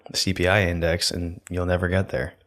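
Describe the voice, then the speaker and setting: Young man, deep voice, monotone, calm, casual podcast chat, close studio mic. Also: brisk. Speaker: podcast co-host. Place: small room indoors. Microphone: studio mic on stand, close.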